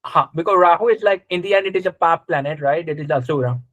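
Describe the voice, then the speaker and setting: A man's voice: a little high, quick pace, a bit bright, no echo. A man teaching a live interactive class, explaining conversationally and checking understanding with short questions. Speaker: astrology teacher.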